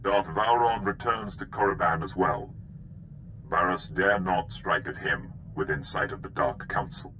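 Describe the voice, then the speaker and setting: Forceful, deep-voiced Sith servant. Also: male voice, unhurried, a little hiss or hum, low-quality recording. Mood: grave, urgent. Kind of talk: dramatic video game voice acting.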